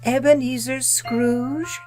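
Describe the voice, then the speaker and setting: Radio drama spirit: ageless, solemn, formal, higher register, slow deliberate pacing, quietly in command. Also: female voice, fairly even intonation, over music. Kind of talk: old-time radio drama acting.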